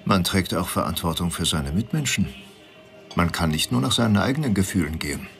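A man's voice: deep voice, animated, fairly quick, vocal fry, fairly bright tone, faint music behind, full character voice. An actor in a German radio drama playing a self-important male eyewitness, pompous, sententious and utterly certain. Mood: self-righteous.

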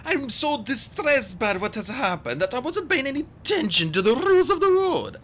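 A man's voice: sing-song, moderate pace, a bit bright, heavily acted. A man voicing a scheming villain who pretends to be a distressed, fluttery assistant in an audiobook story. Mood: feigned distress. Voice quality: high, fluttery when pretending. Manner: theatrical, oily, falsely apologetic.

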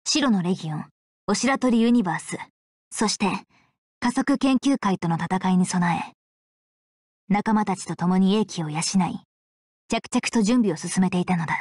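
A woman playing a composed, commanding young female leader in an anime visual novel game, with measured, formal, authoritative speech. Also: medium pitch, a little mellow, no room sound, studio quality, close to an everyday voice. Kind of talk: Japanese visual novel acting and narration. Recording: background music under the voice.

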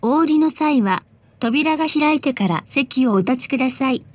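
A woman reading scripted bus announcements in a polite, formal, measured way. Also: sits high, lively intonation.

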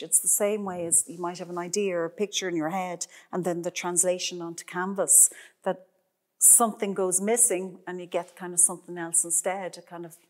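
A woman's voice: fairly low pitch, a little mellow. A middle-aged woman, a painter, chatting in a gallery, rambling with many restarts and fillers. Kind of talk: casual conversation.

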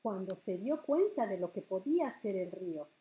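A middle-aged woman hosting a bilingual storytime as a children's librarian, reading picture books aloud with deliberate phrasing for small children. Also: mid-range voice, expressive, warm tone. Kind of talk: bilingual picture-book read-aloud for preschoolers. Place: living room at home, indoors.

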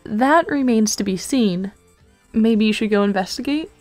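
A woman voicing a dry, precocious teenage girl in a web comic dub, speaking in a measured, formal, deadpan way. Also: a little high, soft background music, natural voice. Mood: composed.

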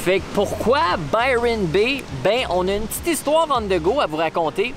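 A man's voice: high-pitched, animated, moderate pace, over music. A man in his thirties with a Quebec French accent, narrating a casual travel vlog outdoors into a camera held at arm's length. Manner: upbeat, playful, joking. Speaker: travel vlogger. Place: outdoors by the sea.

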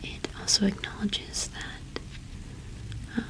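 Casting: young woman, ASMR roleplay, soft whisper at close mic, very unhurried delivery. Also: low-quality recording. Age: young adult. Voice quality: breathy whisper. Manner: slow, gentle, unhurried. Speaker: ASMR roleplay performer playing a shopkeeper witch. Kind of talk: ASMR roleplay, casual shop chat. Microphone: close microphone.

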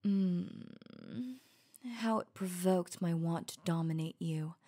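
Slow, sultry werewolf huntress acted by a woman. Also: lowish voice, dark tone. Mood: seductive, commanding. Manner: slow, breathy, intimate, often dropping to whispers. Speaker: audio roleplay voice actor. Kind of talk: ASMR roleplay.

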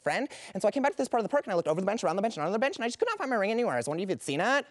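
Woman playing a scatterbrained rapid-fire chatterbox. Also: extremely low pitch, animated, a little mellow, no room sound. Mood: frantic, chatty. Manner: gushing, breathless run-on chatter.

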